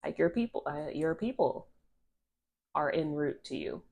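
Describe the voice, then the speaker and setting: A woman's voice: low-pitched, expressive, a little slow, vocal fry, darkish tone. A woman with a stuffy, nasal voice from allergies, giving a casual channeled tarot card reading to a phone camera, rambling with restarts and a lot of "like". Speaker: tarot reader.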